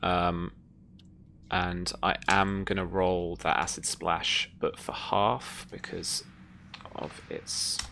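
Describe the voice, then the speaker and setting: A game master with a very low, gravelly voice runs a tabletop roleplaying game. The delivery is casual and conversational, with some noise in the background. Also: level tone, takes it slowly, fairly bright tone.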